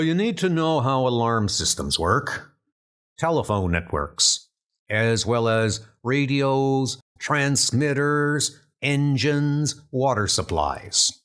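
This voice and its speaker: Casting: male performer as wartime British training officer for comic dub, formal, explanatory, medium pitch, measured. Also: a bit bright.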